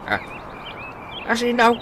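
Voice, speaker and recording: A man's voice: slow, noisy background, natural voice. A man playing a grumbling, teasing elderly village father in a comedy radio drama, with an Ilocano accent. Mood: grumbling, puzzled.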